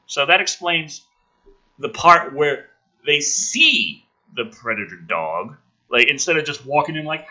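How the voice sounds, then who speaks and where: A man's voice: on the high side, sharp tone, low-quality recording. A man giving a ranting, sarcastic commentary as he reads a screenplay aloud, profane and exasperated. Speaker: host of a movie script review.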